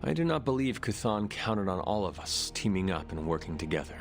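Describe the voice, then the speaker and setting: An actor playing a calm, formal young male hero who speaks in measured tones. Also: low-pitched, faint music behind. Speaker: player character hero. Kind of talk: video game cutscene.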